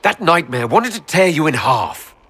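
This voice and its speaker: An actor playing a composed, supportive heroic leader in a video game, calm and direct. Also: male voice, a little high, moderate pace, big-room sound. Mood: calm, puzzled. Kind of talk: video game cutscene acting.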